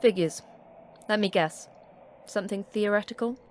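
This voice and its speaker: A woman performs a terse female facility boss in a sci-fi horror audio drama, with a middling pitch over clearly audible background noise. She is dry and sarcastic, handing out clipped instructions with little patience. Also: moderate pace, a little mellow, lightly acted. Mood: sardonic. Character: terse, sardonic woman managing a secret Antarctic facility. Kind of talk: audio fiction acting.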